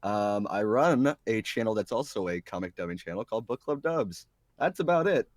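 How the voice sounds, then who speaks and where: A man's voice: lowish voice, lively intonation, moderate pace, no room sound, studio quality. A man who is a voice actor on a panel, introducing himself in a casual way.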